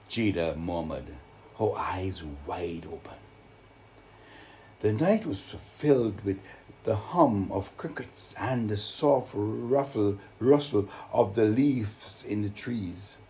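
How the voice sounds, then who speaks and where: A man with a Vincentian accent telling stories to children, expressive and deliberate, with dramatic emphasis. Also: mid-range voice, slight background noise. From Saint Vincent and the Grenadines. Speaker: children's storyteller and author. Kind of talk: live storytelling to children.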